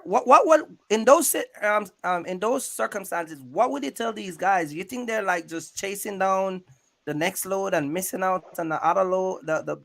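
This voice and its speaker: Male host, high voice, gravelly creak, casual streetwise slang, rambling, repetitive check-ins. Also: a bit bright. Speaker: YouTube channel host interviewing a guest. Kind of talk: casual interview chat about trucking.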